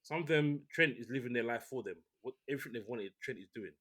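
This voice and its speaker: A man hosting a casual football podcast, talking in a relaxed, opinionated way with many restarts. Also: medium pitch, fast. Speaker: podcast host.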